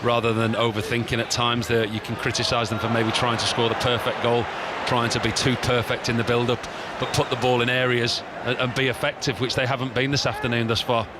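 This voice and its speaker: A man's voice: mid-range voice, flat delivery, fairly quick, hardly any pauses. A man giving thoughtful co-commentary on a football match, talking through tactics. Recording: stadium crowd and noise behind.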